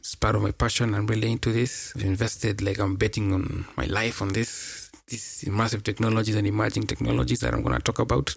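A man's voice: medium pitch, gravelly, husky, dry recording, clean studio sound. A young man with a Kenyan accent, chatting casually as a solo podcast host in a slangy way, often repeating words for emphasis.

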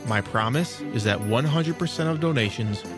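A man's voice: mid-range voice, expressive, moderate pace. A man hosting children's audio stories, giving an earnest scripted address to listeners. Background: music.

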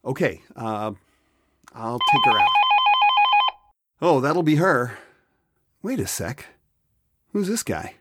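Flustered dad, husky voice, hesitant, pitch bouncing around. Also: male voice, wildly swooping pitch, slow pace, long pauses, fairly bright tone, exaggerated voice. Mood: awkward, flustered. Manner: hesitant, awkward. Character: awkward, flustered divorced dad of a teenage girl. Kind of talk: audio drama acting.

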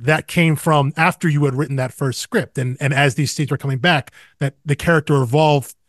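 A man's voice: on the high side, no echo, clean studio sound. A man co-hosting a comics podcast, asking long enthusiastic questions and often repeating words as he talks. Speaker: podcast co-host. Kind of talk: casual interview questions.

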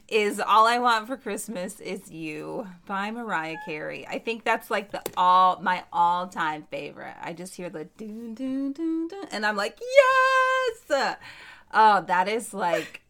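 A young woman in casual chat, enthusiastic and quick to agree. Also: mid-range voice, sharp tone.

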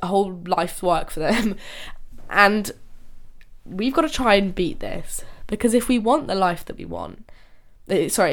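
Young female podcast host, slightly low-pitched, nasal from illness, casual, laughs easily. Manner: chatty, laughs often, trails off and restarts. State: ill, nasal-sounding. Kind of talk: casual solo podcast talk.

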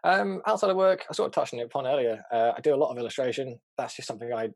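A young man from England with a neutral English accent, a fast-talking, chatty interview guest on a video call, full of fillers and quick laughs. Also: medium pitch, vocal fry, no room sound, studio quality. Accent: English, neutral southern. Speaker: interview guest, art director.

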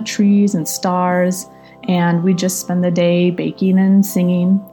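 A woman's voice: fairly low pitch, a little mellow. A woman contributing to a podcast, giving a prepared personal reminiscence. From United States, California. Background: music bed.

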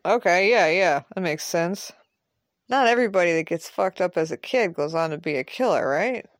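A woman's voice: on the low side, animated, moderate pace, no room sound, studio quality, natural voice. A woman playing a blunt, protective, foul-mouthed man in an audio drama, rambling and emphatic. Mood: pensive. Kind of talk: naturalistic audio drama dialogue.

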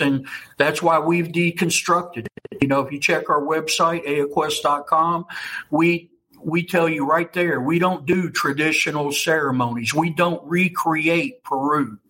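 A man in his fifties with a Kentucky accent, speaking as an interview guest in a forceful, slightly higher voice. He talks bluntly and swears freely. He keeps tagging his sentences with "okay?" and "you know.". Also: fairly even intonation, a little slow, rough recording. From United States. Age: 50s. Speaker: interview guest, ayahuasca ceremony leader. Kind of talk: casual storytelling interview.

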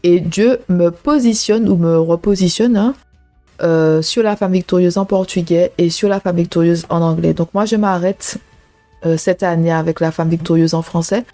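Low, mellow woman, casual faith podcast. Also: normal speed, faint music behind. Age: 30s. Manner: casual and personal, many "euh" and "hein" fillers, preaching tone. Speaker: podcast host. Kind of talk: faith podcast talk addressed to listeners.

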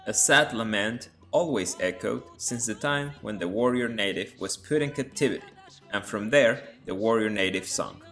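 Male host, Spanish native, calm scripted narration, faint music bed. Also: on the low side. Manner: measured, explanatory lecture tone. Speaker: podcast host explaining song lyrics.

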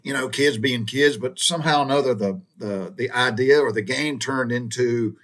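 A man's voice: mid-range voice, normal speed, a bit bright. Folksy Southern man telling childhood stories. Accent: Southern US (Georgia). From Georgia, USA. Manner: folksy, meandering, with frequent restarts and asides. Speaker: storyteller recounting his childhood. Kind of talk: casual spoken storytelling.

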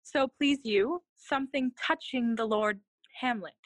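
A woman playing a dutiful, earnest young noblewoman in a classical Shakespeare audio play, speaking formal verse in measured phrases. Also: fairly high pitch, dry recording. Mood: meek, earnest.

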